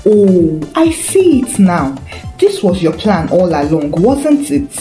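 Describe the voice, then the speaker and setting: A woman's voice: lowish voice, very animated, slow, dark tone. A woman storyteller voicing an earnest, wounded, proud male pastor who speaks with insistence. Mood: cold, suspicious. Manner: intense, insistent. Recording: roomy echo with faint music.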